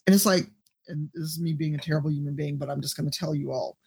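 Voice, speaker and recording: A woman with a deep voice, a podcast guest and streamer giving blunt, profane advice in casual chat. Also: steady tone, fast, gravelly, darkish tone, slightly rough.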